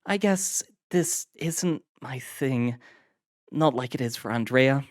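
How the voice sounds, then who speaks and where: A man's voice: medium pitch, animated, slow, no room sound, clean studio sound, pitched above the performer's own voice. A man voicing a tired, tentative young woman of twenty-one who sounds dubious. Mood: weary.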